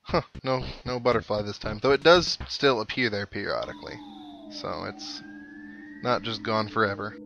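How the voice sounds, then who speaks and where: A man's voice: lowish voice, normal speed, creaky, a bit bright, lo-fi sound. A man hosting a casual let's play, chatting to viewers and thinking aloud with some wry joking. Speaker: gaming video host. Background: video game music.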